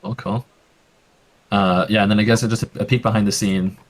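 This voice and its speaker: A man's voice: on the low side, fairly even intonation, moderate pace, poor audio. A man working as game master, explaining the rules in a casual way with many fillers. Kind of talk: narrating a tabletop game.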